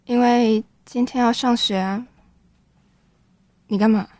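A woman's voice: on the high side, fairly even intonation, slow, mellow, dry recording. A woman acting as a guarded, wounded teenage schoolgirl in a modern urban radio drama. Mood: blunt. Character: guarded, wounded teenage schoolgirl who writes odd novels. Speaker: actress.

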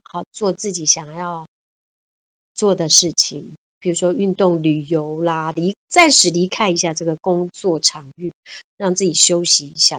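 A woman's voice: lowish voice, no room sound. A woman in her fifties chatting cheerfully as an interview guest, laughing often and repeating words. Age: 50s. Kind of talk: casual interview chat.

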